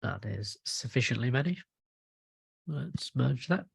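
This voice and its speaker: A man's voice: mid-range voice, lively intonation, measured pace, gravelly, slightly rough, dry recording, studio quality. A man chairing an online work meeting in an informal, self-deprecating way. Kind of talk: casual meeting facilitation. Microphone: video call.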